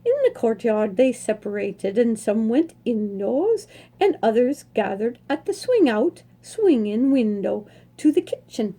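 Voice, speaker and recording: A woman reads a picture book aloud to children, taking her time over each phrase. Her voice is soft and mellow, with no edge to it. She talks in a lively, sing-song way, as librarians do at story time. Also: a little high. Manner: slow, expressive storytelling.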